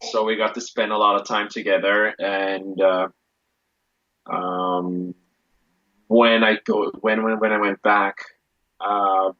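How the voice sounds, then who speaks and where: Low-voiced hesitant man, dull lo-fi sound. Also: flat delivery, takes it slowly, long pauses, sharp tone. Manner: hesitant, many restarts and fillers. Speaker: guest. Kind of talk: casual conversation.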